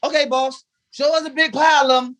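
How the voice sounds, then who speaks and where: A man's voice: very high voice, fairly even intonation, brisk, a bit bright. A man playing a loyal but cheeky household valet in 1950s radio comedy style, with dry, sassy punchlines. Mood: wry.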